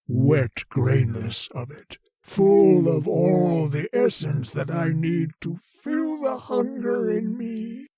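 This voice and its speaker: A male alien monster in a radio horror play, cold and commanding. He speaks extremely slowly and deliberately, in a dark, rough-edged voice full of hungry menace. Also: high-pitched, lively intonation, no room sound, soft background music, heavily acted. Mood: gloating, hungry. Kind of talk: old-time radio horror acting.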